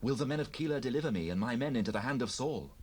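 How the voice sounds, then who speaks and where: An actor playing a devout fugitive war leader in a dramatized Bible recording, solemn and earnest. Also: male voice, medium pitch, lively intonation, very fast, darkish tone, slightly rough. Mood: prayerful pleading.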